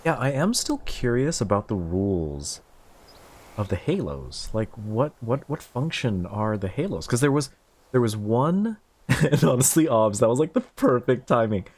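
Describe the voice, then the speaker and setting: Excitable man streamer, very lively pitch. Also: measured pace, slightly rough. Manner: excitable, gasping, squeals high when surprised. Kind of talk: casual gameplay commentary. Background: game music.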